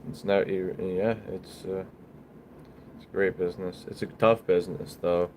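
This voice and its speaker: A man hosting a casual livestream, talking in a halting, rambling, informal way as he answers viewers. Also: sits low, fairly even intonation, darkish tone, slight background noise. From Bulgaria. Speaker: livestream host, small business owner.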